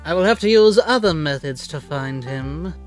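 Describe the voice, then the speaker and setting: A man's voice: a little high, expressive, normal speed, faint music behind, close to an everyday voice. A man playing a suave, menacing radio demon in a cartoon dub, talking with theatrical, condescending politeness. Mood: sinister courtesy. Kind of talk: cartoon comic dub acting.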